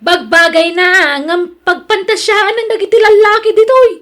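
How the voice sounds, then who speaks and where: A woman's voice: very high voice, fairly even intonation, normal speed, fairly bright tone. A woman with an Ilocano accent playing a jealous, hardworking, exasperated wife in a radio drama. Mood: scolding, complaining.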